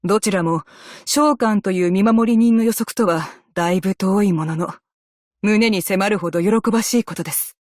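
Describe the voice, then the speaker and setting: A woman playing a composed, dutiful female naval officer in a game, speaking with measured, formal military politeness. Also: mid-range voice, a little mellow, no echo, studio quality, lightly acted. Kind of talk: scripted game character voice lines in Japanese.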